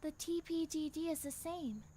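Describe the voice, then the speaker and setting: A woman playing a gentle young time traveller in an anime fan dub, patiently explaining and reassuring. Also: high voice, normal speed, a little hiss or hum, lightly acted. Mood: gentle, assured. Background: background music.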